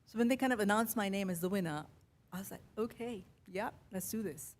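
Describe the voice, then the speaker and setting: A middle-aged woman with an Indian accent, a mix of Mumbai, Kerala and London, telling a personal story in a chatty, animated way as a podcast host. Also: mid-range voice, brisk. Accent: Indian English, Mumbai and Kerala with London influence. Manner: chatty, animated, quotes her own thoughts.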